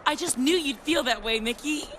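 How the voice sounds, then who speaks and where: A woman's voice: high voice, normal speed, a bit bright. An actress playing a clingy, possessive female wrestler in a video game, speaking in an earnest, needy way. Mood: gushing. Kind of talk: scripted video game cutscene acting. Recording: clear background noise, game audio.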